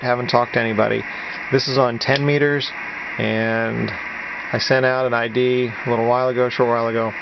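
Man narrating casually through dull, noisy audio. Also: mid-range voice. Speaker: amateur radio hobbyist, video maker. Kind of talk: casual how-to project narration. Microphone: handheld camera's built-in mic. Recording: dull camera-mic audio with clear hiss and hum.

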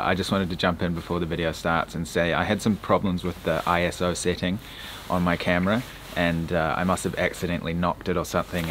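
A young man talking casually to a handheld camera as a travel vlogger, with easy "uh" fillers. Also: sits low. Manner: relaxed, chatty, frequent "uh" fillers. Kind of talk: casual travel vlog narration. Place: outdoors, street and countryside. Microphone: handheld camera at arm's length. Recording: loud background noise.

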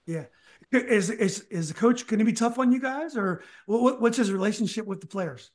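A middle-aged man hosts a casual podcast interview over a video call from a book-lined home study. His voice sits high for a man, and he often stops and restarts his questions. He speaks into a desk mic. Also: moderate pace. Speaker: podcast host.

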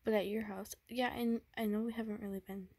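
A woman voicing an anxious, tender young boyfriend in a whispered romance roleplay, speaking softly with nervous stammers. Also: medium pitch, fairly even intonation, brisk, husky, dry recording. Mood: worried pleading.

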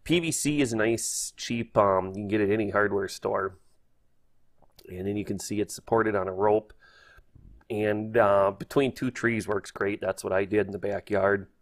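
A man's voice: fairly low pitch, steady tone, normal speed. A man hosting a casual hobby tutorial, thinking aloud in a hesitant, folksy way. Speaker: host, hobbyist instructor. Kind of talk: casual spoken tutorial over screen.

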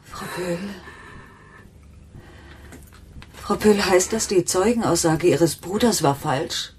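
A woman playing an anguished, frightened wife and mother in a radio drama, speaking haltingly and intimately, at times near a whisper. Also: lowish voice, darkish tone, slight background noise, close to an everyday voice. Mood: shocked, probing. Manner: breathy, halting, dropping into whispers. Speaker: actress in a crime radio play.

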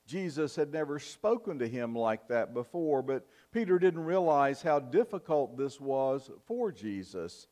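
An older man preaching a sermon in a church, in a measured, earnest style with emphatic pauses. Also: medium pitch, big pitch swings, mellow. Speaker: pastor. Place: church sanctuary, at the pulpit. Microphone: fixed camera from the back of the church.

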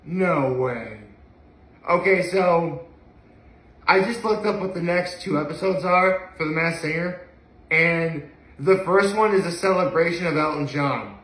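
A young man with a bright, fairly high voice chats casually to a camera a few feet away. He gushes and repeats his phrases for emphasis. The living room around him adds a clear echo. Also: unhurried. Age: young adult. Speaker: video blogger. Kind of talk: casual solo chat to camera. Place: living room at home, indoors. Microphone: camera or phone a few feet away.

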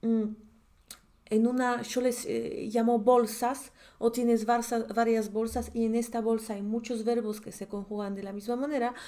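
A woman's voice: a little high, level tone, moderate pace, mellow. A woman in her thirties with a Polish accent, giving a chatty online grammar lesson as a language teacher, checking in with the listener often. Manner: chatty, explanatory, asks "¿sí?" often. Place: indoor room, at a desk. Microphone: webcam in a corner of a screen share.

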